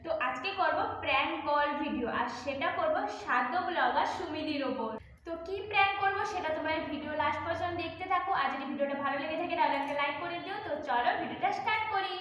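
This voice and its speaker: Young female prank-call vlogger. Fast, casual talk, recorded with room echo and faint noise. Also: sits high, fairly bright tone.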